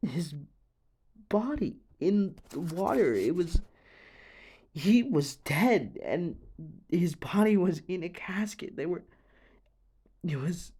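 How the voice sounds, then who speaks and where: A young man playing an anxious, haunted teenage boy in an audio drama monologue, speaking haltingly with stammers, sighs and a creaky voice. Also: high voice, darkish tone, exaggerated voice. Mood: distraught, disbelieving. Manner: halting, stammering, sighing, nervous breathy laughs.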